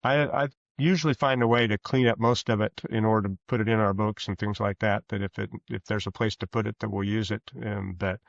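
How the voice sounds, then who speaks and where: A man answering interview questions as a guest author, conversational, with rambling, halting explanations. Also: fairly low pitch, fairly even intonation, gravelly, no room sound. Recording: dull, lo-fi sound.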